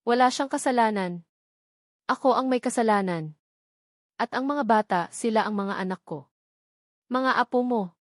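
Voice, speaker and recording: A woman's voice: a little high, moderate pace, long pauses, no echo, studio quality, lightly acted. A woman voicing a protective, defiant grown son in a love story, firm and earnest.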